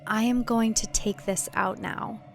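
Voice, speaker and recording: Lady playing sharp-witted young heroine in a cult thriller audiobook, higher voice, scheming. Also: fairly even intonation, measured pace, vocal fry, faint music behind. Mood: alarmed. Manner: falsely sweet, cooing, muttering when alone.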